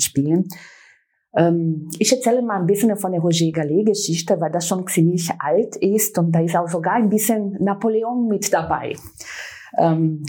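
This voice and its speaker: A woman's voice: fairly low pitch, dark tone, slightly rough. A woman from Brazil, a native Portuguese speaker with a Brazilian accent, talking enthusiastically as a talk show guest with many fillers. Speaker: guest, brand manager.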